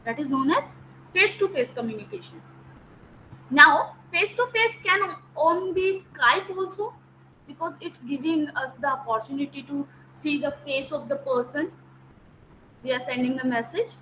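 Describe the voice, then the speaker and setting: A woman's voice: high-pitched, moderate pace, pushed and bright, a little hiss or hum, poor audio. A woman, a Hindi native speaker, teaching an online class, explaining step by step, repeating key terms and checking whether students follow. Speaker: academic counsellor. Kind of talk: online lecture. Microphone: video call.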